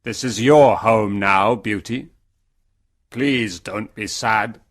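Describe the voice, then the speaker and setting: A woman voicing a big, ugly but kind male beast, slow and deep, in a storybook reading. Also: full character voice. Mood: tender. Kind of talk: graded reader storybook narration.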